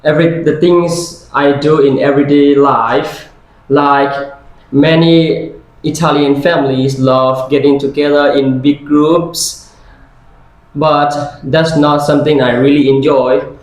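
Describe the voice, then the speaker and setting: Slow-spoken Brazilian man in a very echoey room. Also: on the high side, fairly even intonation. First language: Portuguese. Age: 20s. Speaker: interviewee. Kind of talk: scripted interview role-play.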